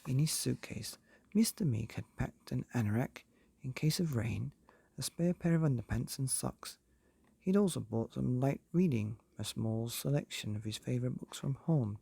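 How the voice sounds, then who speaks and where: Male storybook narrator: husky, mellow, measured delivery with deliberate pauses, for bedtime listening. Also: medium pitch, animated, no echo. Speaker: narrator of a bedtime stories podcast.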